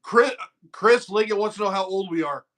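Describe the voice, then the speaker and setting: A man's voice: high voice, moderate pace, a bit bright. A man in his fifties hosting a casual conversation, telling loose stories and swearing freely. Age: 50s. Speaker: host.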